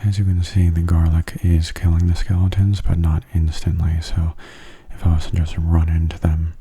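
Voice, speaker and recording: Hushed, very low breathy man. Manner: calm, unhurried, musing aloud. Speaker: gaming ASMR host. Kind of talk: soft-spoken ASMR game commentary. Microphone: close microphone.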